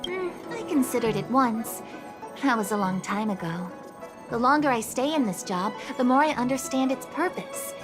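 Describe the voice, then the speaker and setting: A woman's voice: a little high, animated, moderate pace, over music, natural voice. A woman voicing a composed young officer in a video game, formal, earnest and polite. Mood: reflective, earnest. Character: dutiful, composed young female city officer. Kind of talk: video game English dub acting.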